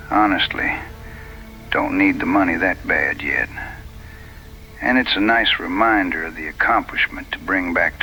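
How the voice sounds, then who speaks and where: An actor playing a gruff, rustic frontiersman in an audio western, speaking in plain backwoods phrasing. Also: low voice, sharp tone, a little hiss or hum, exaggerated voice, poor audio. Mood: wry. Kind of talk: dramatized audio western dialogue.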